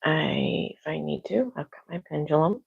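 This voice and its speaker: A soft-spoken woman reading tarot on a casual livestream at home, talking quietly to herself. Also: deep voice, expressive, normal speed, creaky, slightly rough, dry recording, highs cut off. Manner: talks to herself, quiet and musing. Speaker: tarot reader. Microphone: clip-on microphone.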